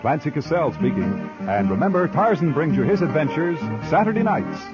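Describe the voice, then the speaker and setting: A man's voice: a little high, big pitch swings, moderate pace. A man with an American accent reading scripted credits and promos as a 1950s radio announcer. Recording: muffled 1950s radio recording, music behind.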